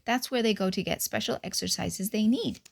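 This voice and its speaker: A woman's voice: mid-range voice, brisk, creaky, a little hiss or hum, lightly acted. Woman voicing a kindly bear doctor. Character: kind female bear doctor, calm and helpful.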